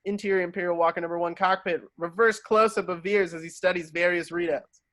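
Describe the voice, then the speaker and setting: A man's voice: high voice, steady tone, fairly quick, bright, forceful tone. A man reading screenplay stage directions as narrator of a table read.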